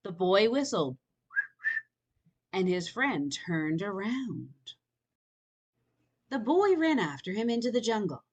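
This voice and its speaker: A woman reads a picture book to young children at a leisurely pace. Her pitch moves around a lot as she tells the story. She speaks invitingly and wonders aloud along with her listeners. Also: very animated, slow, long pauses, a little mellow.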